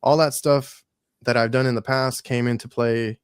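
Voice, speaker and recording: A man's voice: mid-range voice, fairly even intonation, moderate pace, clear, resonant tone, no room sound. A man in his mid-thirties hosting a podcast, easygoing and casual, often repeating words as he thinks. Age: 30s. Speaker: podcast host.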